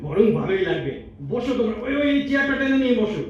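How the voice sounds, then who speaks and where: In a roomy, far-off hall recording, a man acts a fond old grandpa from an amateur radio-style play. He banters and teases, his pitch riding high and swinging freely. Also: very animated, fast talker. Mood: jovial, welcoming. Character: teasing, affectionate old grandfather, playful with his wife. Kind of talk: amateur staged audio drama acting. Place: multipurpose hall stage. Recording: distant, echoey hall recording, muffled, faint noise.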